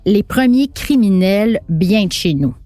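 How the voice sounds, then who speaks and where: A woman reading scripted documentary narration in a measured tone. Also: medium pitch, lively intonation, dark tone, no room sound, soft background music. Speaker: podcast narrator.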